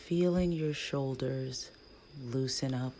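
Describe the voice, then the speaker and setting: A woman leading a guided meditation in a hushed, soothing, breathy voice with long pauses between phrases. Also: rumbling low. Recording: faint background noise.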